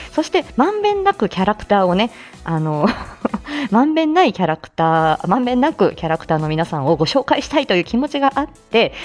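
Animated woman chatting over soft music. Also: medium pitch. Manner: chatty, laughs often while talking. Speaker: host of a live morning audio show. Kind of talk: casual solo chat to live listeners. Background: background music.

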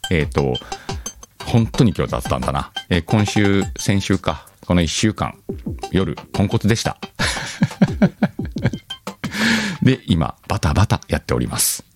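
A man's voice: low-pitched, wildly swooping pitch, unhurried, pauses often. A man hosting a casual solo chat show, rambling and self-deprecating, often laughing at himself, with music behind him. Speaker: host of an audio show. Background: background music.

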